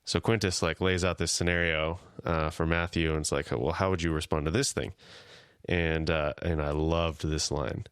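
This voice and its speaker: A man co-hosting a podcast, chatting casually and retelling scenes with quick paraphrased lines of dialogue. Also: rumbling low, fairly even intonation, a bit bright, clear, resonant tone, no room sound, clean studio sound. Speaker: podcast co-host. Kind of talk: casual chat.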